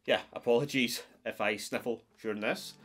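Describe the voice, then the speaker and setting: A man in his forties, a little stuffed up, talks through a guitar lesson in an easy, friendly way, counting frets and strings as he goes. Loud acoustic guitar rings behind his voice. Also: mid-range voice, normal speed. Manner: friendly, explains step by step with counts. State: stuffed-up nose. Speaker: guitar teacher. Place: small wood-paneled room at home.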